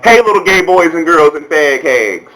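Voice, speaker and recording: A man's voice: a little high, animated, moderate pace, pushed and bright, poor audio. An American man giving casual commentary as a video blogger, talking in a candid, sassy, colloquial way.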